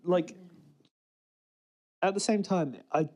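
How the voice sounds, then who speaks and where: A man's voice: sits high, takes it slowly, warm tone. A man co-hosting a podcast, talking through his observations in a casual, conversational, explanatory way. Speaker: co-host of a TV commentary podcast.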